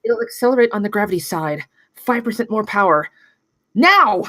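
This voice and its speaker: A woman's voice: medium pitch, lively intonation, moderate pace, fairly bright tone, no echo, close to an everyday voice. A woman playing a cool, precise technical pilot in a screenplay table read. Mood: commanding.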